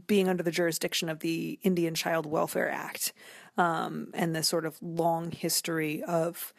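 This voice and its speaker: A woman giving thoughtful, discursive commentary as an interview guest, often halting as she works out her ideas. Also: deep voice, flat delivery, creaky, no room sound, studio quality. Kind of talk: conversational literary and cultural commentary.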